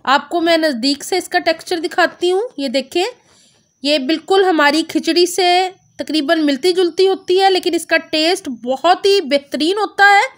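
A woman narrating a home cooking recipe step by step, calmly naming each ingredient and measure. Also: very high-pitched, normal speed. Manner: calm instructive, naming measures. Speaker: cooking channel host.

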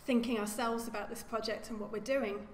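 A woman's voice: on the high side, steady tone, a little hiss or hum. Woman lecturing in an echoey hall. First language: English. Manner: measured, self-correcting with repeated words. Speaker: archaeologist giving a lecture. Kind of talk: academic lecture. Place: lecture room.

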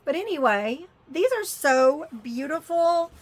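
A woman's voice: high voice, lively intonation, slow. A woman chatting casually through a shopping haul on camera, exclaiming and muttering as she opens things. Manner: chatty, exclaims and mutters while fiddling with items. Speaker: vlogger unboxing a shopping haul.

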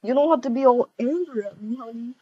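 A woman acts out a high-voiced cheating partner in a homemade game roleplay. The partner wheedles and begs, stammering and repeating pet names, on a dull microphone. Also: brisk, slightly rough, no room sound. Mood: coaxing. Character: pleading, whiny cheating partner begging forgiveness. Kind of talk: amateur video game roleplay acting.

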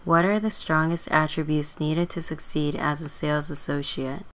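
A woman's voice: deep voice, steady tone, normal speed, a bit bright. A woman playing a stubborn, sardonic teenage runaway girl with a dry manner in a scripted game story. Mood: polite, eager.

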